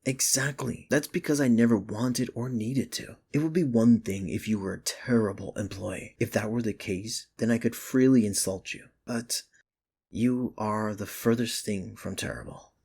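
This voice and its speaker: A man's voice: lowish voice, fairly even intonation, normal speed, vocal fry, darkish tone, husky. A man playing a sardonic but secretly caring office rival in an intimate ASMR romance roleplay, with dry, earnest delivery. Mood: earnest, admiring. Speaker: ASMR voice actor.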